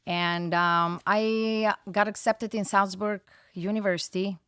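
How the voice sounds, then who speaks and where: A woman's voice: mid-range voice, fairly even intonation, takes it slowly, fairly bright tone, clear, resonant tone, no echo. A woman in her forties from Bulgaria, speaking English with a Bulgarian accent, frank and matter-of-fact as a studio guest. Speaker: wine educator guest. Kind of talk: casual interview chat.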